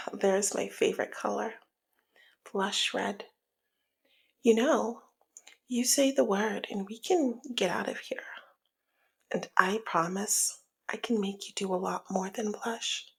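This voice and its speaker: A woman playing a teasing, dominant mob boss in an ASMR roleplay, with a breathy, husky voice that often slips into a whisper and a slyly menacing, sultry manner. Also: medium pitch, fairly even intonation, takes it slowly, long pauses, exaggerated voice. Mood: flirty, teasing. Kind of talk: ASMR roleplay audio drama.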